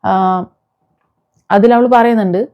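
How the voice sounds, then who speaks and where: Female video commentator, Kerala Malayalam accent, mellow timbre, indignant and informal, on a dull recording. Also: a little high, fairly even intonation, slow pace. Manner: indignant, scolding, with rhetorical questions. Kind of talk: informal reaction commentary to viewers. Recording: dull, muffled lo-fi sound.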